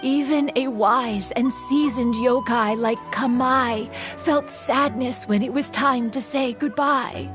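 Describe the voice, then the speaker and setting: A sorrowful yokai spirit recounts an old story in a slow, solemn way. Her voice sits a little high and never hurries. Soft music plays underneath. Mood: grave. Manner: slow, solemn, melancholy telling. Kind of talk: game cutscene storytelling.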